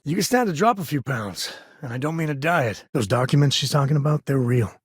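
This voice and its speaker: A man's voice: medium pitch, animated, a little fast, slightly rough, no echo, studio quality. An actor playing a rugged, easygoing space ranger and protective father in a video game, with a folksy, wry, conversational manner. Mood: warm, hesitant. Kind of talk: video game character dialogue.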